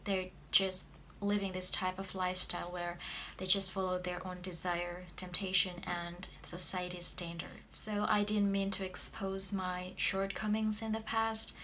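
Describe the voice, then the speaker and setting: A woman's voice: fairly low pitch, level tone, unhurried, fairly bright tone, a little hiss or hum. A woman in her twenties with an Indonesian accent, telling a personal story to camera in a room indoors. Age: 20s. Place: small plain room indoors.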